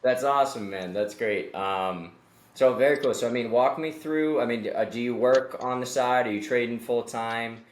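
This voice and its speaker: A man's voice: medium pitch, normal speed, roomy sound. A man in his late twenties hosting a casual remote interview, upbeat and encouraging, chatty with fillers, on a video call. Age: late 20s. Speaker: interview host, company executive.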